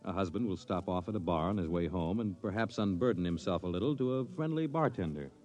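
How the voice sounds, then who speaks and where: A man's voice: sits low, lively intonation, a little mellow. Portentous 1950s radio announcer, man, music behind. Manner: portentous, measured. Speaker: radio series announcer and narrator. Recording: dull 1950s radio recording.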